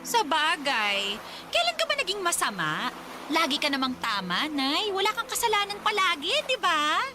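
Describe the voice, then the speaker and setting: An actress playing a fed-up, sharp-tongued Filipino mother, sarcastic and taunting, in a Tagalog radio drama. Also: high voice, huge pitch swings, moderate pace, heavy background noise. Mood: sarcastic, exasperated.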